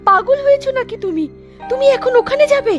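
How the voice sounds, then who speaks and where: A woman's voice: very high-pitched, a little fast. A fretful village wife from a cartoon, played by a woman, talks. She is urgent, gossipy and anxious. Light music sits behind her. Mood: afraid. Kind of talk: cartoon voice acting.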